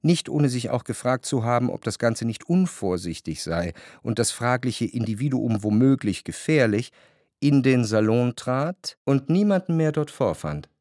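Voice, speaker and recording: A man narrating a literary radio drama as a reflective gentleman recalling his past, in a measured, articulate way. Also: medium pitch, lively intonation, dry recording, clean studio sound, lightly acted. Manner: measured, articulate reading of long sentences.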